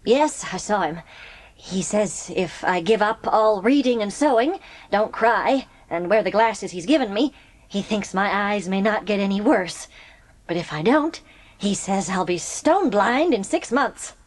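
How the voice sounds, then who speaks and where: A woman's voice: mid-range voice, animated, measured pace. A woman playing an older, stern but loving farm spinster in an audiobook drama, plain-spoken and heartfelt. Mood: bleak, worried.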